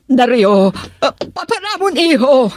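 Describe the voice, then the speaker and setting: A woman playing an anxious, doting mother in an Ilocano radio drama, with drawn-out, exclaiming, fretful delivery. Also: high-pitched, very animated, a little hiss or hum. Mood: distressed.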